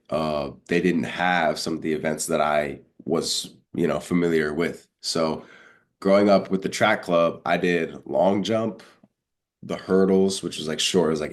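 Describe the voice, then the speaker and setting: A congested young man chatting casually as an interview guest, telling stories in informal slang and acting out remembered dialogue. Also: a bass voice. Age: young adult. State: congested, under the weather. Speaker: guest, college high jumper.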